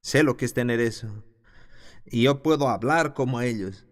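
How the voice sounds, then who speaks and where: A man's voice: medium pitch, normal speed. A man teaching a Bible class who reads scripture aloud and then explains it, stopping now and then to restart a phrase. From the south of his country.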